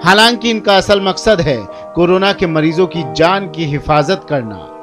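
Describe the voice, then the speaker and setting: A man's voice: on the high side, a little slow. A man reading a scripted news feature as narrator. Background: loud music bed.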